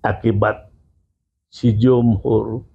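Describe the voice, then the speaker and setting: A male guest giving slow, measured opinions in a casual interview. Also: fairly low pitch, level tone, vocal fry, dark tone, husky. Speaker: guest commentator. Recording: tinny phone-like audio.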